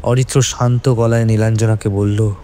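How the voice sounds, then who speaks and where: A man's voice: lowish voice, little pitch movement, moderate pace, warm tone, a little hiss or hum. A man narrating a scripted horror story in a dramatic, suspenseful manner.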